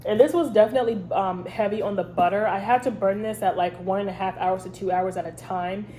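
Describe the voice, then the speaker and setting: A woman's voice: medium pitch, fairly even intonation, fairly quick, mellow, slight background noise. A woman with a head cold and a stuffy, congested voice, chatting casually as host of a review vlog with lots of ums. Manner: chatty, rambling, many ums. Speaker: candle review channel host.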